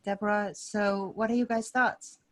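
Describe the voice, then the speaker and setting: A woman's voice: medium pitch, little pitch movement, normal speed, fairly bright tone. A woman hosting an online group coaching session, talking casually to the participants over a video call.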